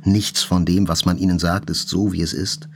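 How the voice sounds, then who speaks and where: Deep, grave, brooding man. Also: flat delivery, moderate pace. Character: brooding, reflective inner voice of a male writer. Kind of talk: literary monologue in audio drama. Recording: clear music behind the voice.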